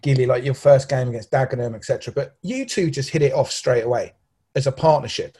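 A man's voice: medium pitch, fast talker, warm tone, breathy edge. A man hosting a podcast, asking questions eagerly and enthusiastically. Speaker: podcast host. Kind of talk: interviewing.

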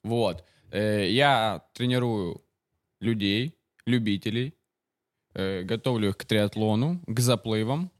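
A man's voice: fairly low pitch, slow, dry recording, clean studio sound. A man in his twenties, a guest on a podcast, chats casually, jokes around and hesitates with many fillers. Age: 20s. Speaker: podcast guest, triathlon coach.

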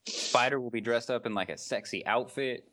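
A man's voice: mid-range voice, fairly quick, fairly bright tone. A man in his twenties on a casual podcast, blunt and crude in his jokes. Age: 20s. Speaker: podcast co-host. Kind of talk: casual chat.

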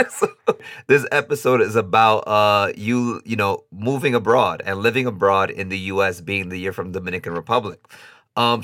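A man's voice: fairly low pitch, fairly bright tone, no echo, clean studio sound. A man from Brooklyn hosting a casual podcast interview, upbeat and chatty, laughing often. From Brooklyn, New York. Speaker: podcast host.

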